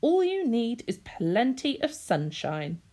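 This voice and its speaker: A woman's voice: a little high, sing-song, slow, dark tone, voice pushed up, heavily acted. A woman voicing a wise male owl in a children's storybook, assured and instructive. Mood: knowing. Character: wise male owl, calm knowing adviser.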